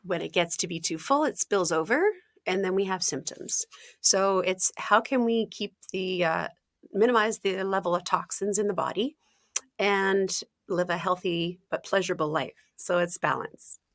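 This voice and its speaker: A woman, a health coach talking casually in an interview, often laughing, clearing her throat and using fillers. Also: fairly low pitch, moderate pace, fairly bright tone, dry recording, clean studio sound. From Ontario, Canada.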